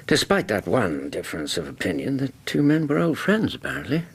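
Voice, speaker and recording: Courteous English physician for radio play: low, very expressive intonation, reassuring bedside manner, tactful questions. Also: male voice, fairly quick, slightly rough, exaggerated voice. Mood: conversational, reporting. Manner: courteous, reassuring, gently probing. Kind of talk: radio drama acting.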